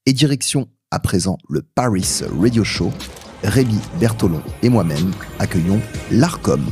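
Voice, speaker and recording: A man's voice: lowish voice, animated, unhurried, dark tone, faint music behind. A man hosting a podcast, reading a scripted introduction and news update. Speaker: podcast host presenting news.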